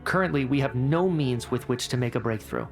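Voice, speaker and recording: Brainy game scientist, medium pitch, very precise and matter of fact, explains stuff coolly, bit of music. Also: male voice, expressive, brisk, creaky. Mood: clinical, grave. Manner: precise, clinical, articulate. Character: brisk, coolly rational male scientist reporting to his superior. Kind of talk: video game cutscene acting.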